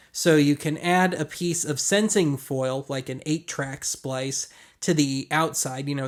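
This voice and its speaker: A man with a somewhat higher-pitched voice walks through equipment in a casual, explanatory way. His delivery is colloquial and wry, with self-deprecating asides along the way. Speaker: host of a vintage audio gear review show. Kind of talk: casual product walkthrough.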